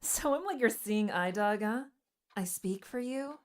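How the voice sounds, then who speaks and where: A woman's voice: medium pitch, lively intonation, a little slow, close to an everyday voice. A woman playing an adventurer's teasing, affectionate partner in an audiobook, with a playful and tender way of talking. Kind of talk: audiobook character dialogue. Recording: music under the voice.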